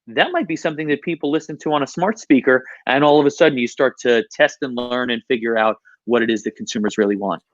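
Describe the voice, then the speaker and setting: A man speaking as an interview guest on a podcast, explaining things in a measured conversational way over slightly lo-fi audio. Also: medium pitch, no echo. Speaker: podcast guest, podcast industry professional.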